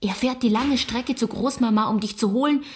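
A woman's voice: a little high, fairly quick, slight background noise, natural voice. An actress playing a worried, insistent teenage girl, a protective sister, in a radio drama. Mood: worried, reproachful.

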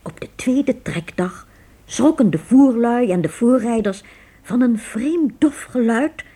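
Husky, expressive woman narrator. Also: on the high side, slow pace. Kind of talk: scripted dramatic narration of a radio play.

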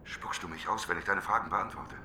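A man's voice: low-pitched, a little fast, bright, forceful tone, a little hiss or hum. An actor playing a desperate, wily man trapped as a reluctant prophet in a radio drama, urgent and pleading. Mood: pleading, bargaining. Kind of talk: literary radio drama acting.

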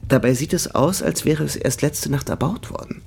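An actor playing a charming, worldly young European gentleman in a radio drama, polite, effusive and playfully theatrical. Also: fairly high pitch, moderate pace, vocal fry, husky. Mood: elated.

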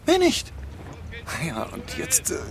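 An actor playing an anxious, self-important refugee writer, hesitant yet insistent, in radio play dialogue. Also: male voice, very high-pitched, wildly swooping pitch, takes it slowly, gravelly, full character voice. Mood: secretive, nervous. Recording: noisy street ambience with traffic.

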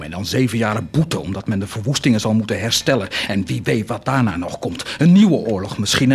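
A man's voice: fairly low pitch, a little hiss or hum. An actor playing a proud, fervent nobleman set on doing good, declaiming with theatrical intensity in a radio drama. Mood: indignant. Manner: declamatory, impassioned, swinging to hushed intensity.

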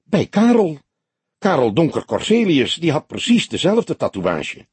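A husky, lifted voice for an indignant woman under questioning, acted out by a male audiobook reader. She is icy and guarded, answering curtly and sometimes hesitantly, with lively swings in pitch. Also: unhurried, no echo, heavily acted. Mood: surprised. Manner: sharp, curt, sometimes hesitant. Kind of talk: audiobook character dialogue.

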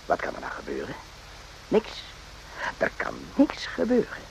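An actor in a radio play voicing a brooding, rambling soldier who muses haltingly and repeats himself. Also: male voice, medium pitch, wildly swooping pitch, gravelly, slight background noise, heavily acted, low-quality recording. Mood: brooding, uneasy. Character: brooding, rambling soldier, former circus night watchman.

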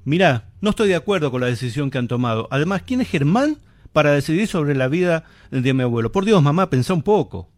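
A man's voice: a little high, big pitch swings, a little fast, no room sound. Man acting loyal Argentine student son. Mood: indignant, protesting. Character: university student son, loyal, protective of grandfather. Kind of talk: amateur student radio drama acting.

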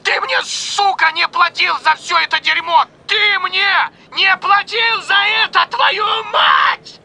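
A hysterical, embittered man at the end of his rope. His voice is extremely high for a man, piercing and tense, with a creaky edge. He rants and swears in a high-strung delivery. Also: lively intonation, moderate pace, bright, forceful tone, exaggerated voice. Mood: hysterical rage. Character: hysterical, bitter, foul-mouthed man at breaking point. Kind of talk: dramatized audiobook dialogue.

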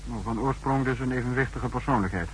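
Actor as authoritative, measured spy chief. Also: male voice, medium pitch, a little hiss or hum, close to an everyday voice, rough recording. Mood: measured, skeptical. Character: authoritative, skeptical male spy chief called Protector. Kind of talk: 1960s radio drama acting. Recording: faintly humming 1960s radio recording.

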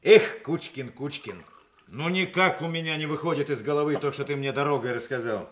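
Man playing gruff army general dad in an old soviet radio drama, speaks up with feeling. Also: fairly high pitch, fairly quick, lightly acted. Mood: indignant. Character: gruff, indignant colonel-general, father at a family celebration. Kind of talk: Soviet radio play acting.

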